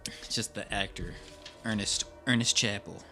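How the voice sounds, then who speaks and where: A man's voice: lowish voice, steady tone, normal speed, pushed and bright, soft background music. A man co-hosting a podcast in casual chat, rambling and repeating his phrases as he goes. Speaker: podcast co-host. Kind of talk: casual chat reviewing horror radio.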